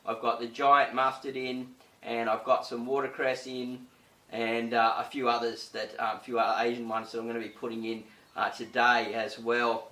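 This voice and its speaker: A man hosting a casual gardening tutorial, chatty and full of fillers, his voice slightly croaky. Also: medium pitch, pushed and bright, roomy sound, far from the mic, poor audio. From Australia. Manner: chatty, many "uh" and "you know" fillers. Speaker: gardening channel host.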